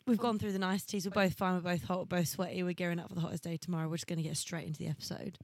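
A woman co-hosts a podcast with a hoarse, fairly low voice. She talks in a chatty, blunt, joking way. The sound is dry and close, with no room echo. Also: steady tone, very fast, darkish tone. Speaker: podcast co-host. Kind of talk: casual chat.

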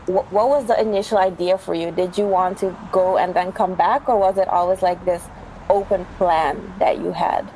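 A woman's voice: fairly low pitch, fairly even intonation, moderate pace, dark tone, a little hiss or hum, rough recording. A woman co-hosting a podcast, chatting casually and asking curious follow-up questions. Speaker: podcast co-host.

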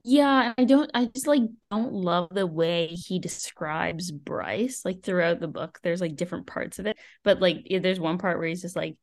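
A woman's voice: on the low side, moderate pace, few pauses. A woman co-hosting a book podcast, giving opinions in casual, exclamatory talk peppered with "like". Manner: informal, exclamatory, frequent "like".

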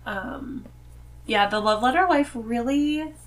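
A woman speaking casually as a guest in a relaxed podcast conversation. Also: a little high, slow. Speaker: podcast guest, host's wife. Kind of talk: casual chat.

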